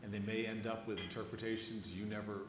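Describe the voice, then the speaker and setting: A man with a fairly low voice interviews a writer onstage. His phrasing is deliberate and measured. He is recorded in an echoey room with clear background noise. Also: level tone. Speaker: interviewer, moderator. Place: live onstage event before an audience.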